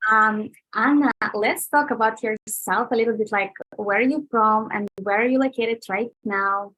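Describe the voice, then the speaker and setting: A woman's voice: fairly high pitch, moderate pace, a bit bright, low-quality recording. A woman hosting a casual interview over a video call, asking simple friendly questions. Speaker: host, interviewer.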